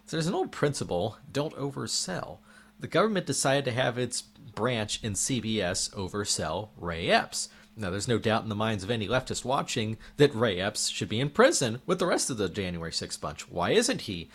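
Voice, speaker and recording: A man's voice: medium pitch, very animated, normal speed, gravelly, husky. A man delivering an indignant opinion monologue as a political commentator, firing off rhetorical questions while losing his voice.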